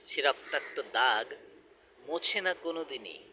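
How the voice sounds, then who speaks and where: Slow, forceful man reciting poetry. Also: medium pitch, expressive, slight background noise. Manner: deliberate, emphatic, with pauses between short phrases. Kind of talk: dramatic Bengali poem recitation.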